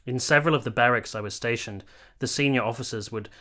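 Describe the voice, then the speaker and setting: A male actor plays a gentlemanly nineteenth-century army captain for radio drama. His mid-range voice is polite and formal, with an earnest, love-struck sincerity. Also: medium pitch, fast, lightly acted. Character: courteous, smitten young army captain in 1870s Italy. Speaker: actor and narrator in a radio play. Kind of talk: radio drama acting, partly first-person narration.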